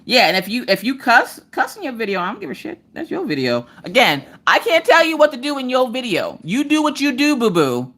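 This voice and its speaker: A woman hosting a live crafting stream, chatting casually with viewers, talking fast and sassy, with an occasional stutter. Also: on the high side, wildly swooping pitch, a bit bright. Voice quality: stutters at times. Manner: talks fast to cover a stutter; sassy and playful, swears freely. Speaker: livestream host of a crafting channel. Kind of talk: casual live chat, reading viewer comments.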